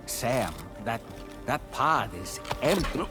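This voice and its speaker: A man's voice: mid-range voice, expressive, slow, music in the background. A man acting a living puppet in a video game, once a spirit medium, courteous, formal and easily indignant, speaking theatrically. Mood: regretful, gentle.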